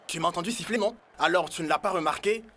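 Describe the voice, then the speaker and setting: A man's voice: sits high, fast, bright, forceful tone. A man voicing a stern, exasperated referee in a French-dubbed children's cartoon. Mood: stern, annoyed. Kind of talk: educational cartoon dub.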